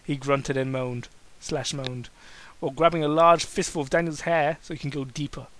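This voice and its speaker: Bright-voiced man reading fan fiction mockingly. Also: fairly high pitch, fairly even intonation, moderate pace. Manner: stumbling over words, breaking off to heckle the text, swearing. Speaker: amateur fan fiction reader. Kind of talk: comic dramatic reading with mocking asides.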